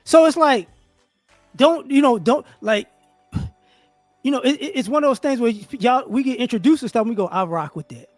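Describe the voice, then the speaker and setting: A man's voice: very high-pitched, normal speed, long pauses, no room sound, faint music behind. A man co-hosting a live stream, talking casually in slang, telling stories with lots of restarts. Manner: slangy, animated storytelling with many restarts. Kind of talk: casual discussion. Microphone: remote call setup.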